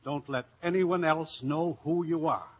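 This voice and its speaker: An exasperated office boss in an old radio drama. The voice is high and rough-edged, and he hands out orders briskly. The recording is dull, like a vintage broadcast. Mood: brisk, pressing. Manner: brisk, bossy. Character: brisk, exasperated male insurance company boss. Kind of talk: 1950s radio acting.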